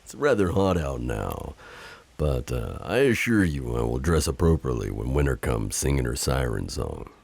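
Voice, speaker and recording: A man playing a loyal, teasing, overprotective knight in an improvised romantic audio roleplay, speaking tenderly and courteously with a gravelly voice. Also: a bass voice, very animated, moderate pace, a little mellow, heavily acted. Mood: apologetic, firm.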